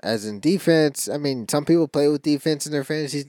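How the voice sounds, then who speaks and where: A man's voice: on the high side, fairly quick, darkish tone, no echo. A man with a stuffy, allergy-clogged voice co-hosts a sports podcast in a loose, rambling way. He jokes around and often restarts his sentences. The sound is close and dry, with no room around it. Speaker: podcast co-host. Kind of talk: casual sports chat.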